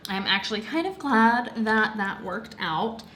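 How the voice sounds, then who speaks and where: A woman's voice: mid-range voice, lively intonation, unhurried, fairly bright tone, a little hiss or hum. A young woman chatting casually about a crafting project at home, slightly congested and rambling with lots of fillers. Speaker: craft vlogger. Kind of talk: casual chat.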